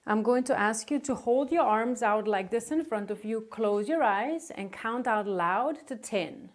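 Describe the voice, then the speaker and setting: A woman conducting a medical exam, giving calm, polite step-by-step instructions with short acknowledgements. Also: fairly high pitch, measured pace.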